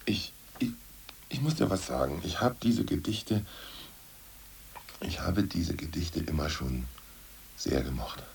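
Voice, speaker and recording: An actor playing a gruff jailed ex-party boss in a German radio play, cynical yet secretly sentimental, with a gravelly, husky delivery. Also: male voice, very deep voice, sing-song, measured pace, pauses often, slight background noise, full character voice. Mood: wistful.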